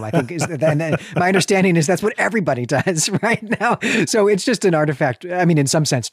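A man's voice: medium pitch, studio quality. Guy on a book podcast, mid voice, chatty and rambling, repeats words for emphasis, no echo at all. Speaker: podcast co-host. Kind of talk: casual book discussion.

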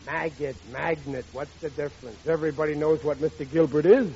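A man's voice: on the high side, brisk, natural voice. An actor playing a stubborn, boastful, bumbling middle-aged American father in an old-time radio sitcom, with a blustering manner. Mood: eager, bumbling. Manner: blustering, malaprop-prone. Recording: muffled, noisy vintage radio recording.

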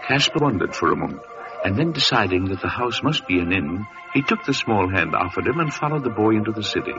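Man, solemn storyteller, expressive sweeping intonation, old radio style, muffled recording. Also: on the low side, fast, over music. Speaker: narrator.

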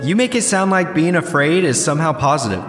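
A man's voice: a little high, expressive, fairly quick, close to an everyday voice. A man playing a skeptical, defiant college student in his early twenties in an audio drama, speaking in a challenging, sardonic way. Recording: echoing lecture room, faint music.

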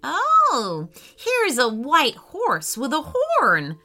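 A brash girl dragon from a children's tale, played by a woman. She exclaims loudly and emphatically, with a bright, punchy tone and animated pitch over music. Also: drawn-out words, heavily acted. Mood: mocking. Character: brash, bossy female young dragon with a kind streak.

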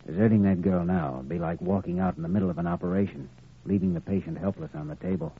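An actor playing a determined, compassionate young doctor, firm and earnest, in 1940s radio drama style. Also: male voice, low voice, expressive, fast talker, a little hiss or hum. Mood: earnest, troubled. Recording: vintage radio recording, narrow band.

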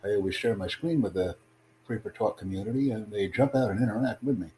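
Low-voiced man hosting, noisy hum. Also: quick pace, warm tone. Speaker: podcast host. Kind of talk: livestream chat with online callers. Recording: clearly noisy, humming background.

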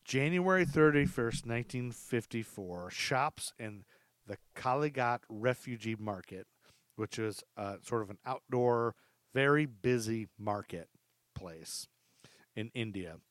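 Guy doing true crime storytelling on a podcast, reads notes then chats casually, medium pitch, really dry sound. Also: animated, slow pace, a bit bright, no room sound. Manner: informal, interjects joking asides. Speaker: podcast host.

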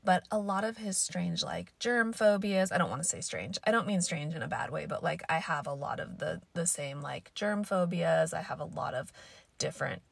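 A young woman talks through a casual solo podcast, her voice hoarse and scratchy from allergies. She speaks low with a gravelly fry, thinking aloud and rambling with plenty of fillers. The sound is dry and close, with no room around it. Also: normal speed, no echo. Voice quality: raspy, scratchy voice. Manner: thoughtful, rambling, many fillers. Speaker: podcast host.

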